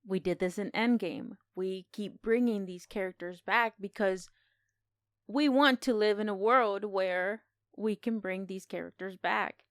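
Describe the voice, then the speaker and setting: A woman podcast co-host with a clean, smooth voice at a medium pitch. She talks casually and enthusiastically, retelling things expressively. The sound is close and dry. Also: no room sound. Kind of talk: casual film discussion.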